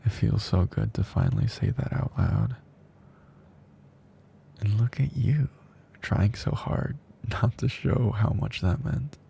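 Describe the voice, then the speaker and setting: A man acting as tender teasing boyfriend-to-be, low pitch, very creaky, soft breathy ASMR roleplay delivery. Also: huge pitch swings, a little hiss or hum, heavily acted. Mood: relieved, teasing. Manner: soft, breathy, slow, drifting into whispers. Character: tender, teasing male best friend confessing love.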